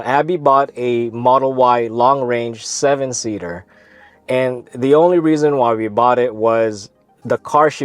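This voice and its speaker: A man's voice: medium pitch, no echo. A man with a stuffy, congested voice, hosting his own video in an easygoing, rambling way. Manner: easygoing, rambling with self-corrections. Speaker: vlog host. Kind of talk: casual talk to camera.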